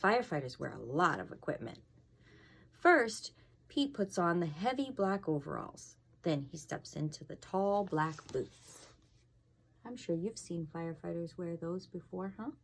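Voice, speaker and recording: A low-voiced woman with a creaky edge reads a picture book aloud for children. Her delivery is animated and expressive, and she often steps out of the text to chat and ask questions. Some noise sits behind her voice. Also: unhurried. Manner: expressive, asking the young listeners questions. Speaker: fire inspector reading a children's book. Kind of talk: read-aloud storytelling with casual asides to children.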